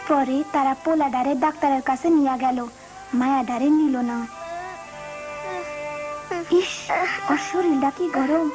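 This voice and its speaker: A woman's voice: high voice, unhurried, long pauses, music in the background, poor audio. A woman voicing an earnest, eager young village girl in a cartoon, with a rural Bangladeshi accent. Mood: curious, concerned. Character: bright, earnest, brave village girl of about nine. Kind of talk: cartoon voice acting.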